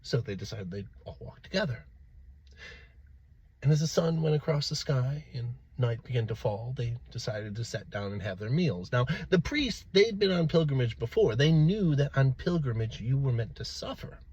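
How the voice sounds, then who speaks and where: A man telling a folktale aloud in a theatrical way, with dramatic pauses and swells. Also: mid-range voice, lively intonation, a little mellow, breathy edge. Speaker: storyteller. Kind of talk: animated oral folktale storytelling.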